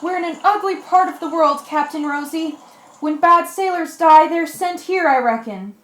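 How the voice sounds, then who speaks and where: A woman as a high-voiced grim ship's mate. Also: moderate pace, a bit bright. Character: ship's female mate, grimly facing danger. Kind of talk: amateur radio drama acting. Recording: echoey room.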